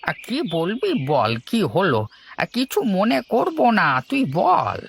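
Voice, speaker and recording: A woman's voice: medium pitch, huge pitch swings, slow pace, gravelly, full character voice. A woman voicing a troubled middle-aged village father in a cartoon, hesitant and stammering, on a noisy amateur recording. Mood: troubled, puzzled.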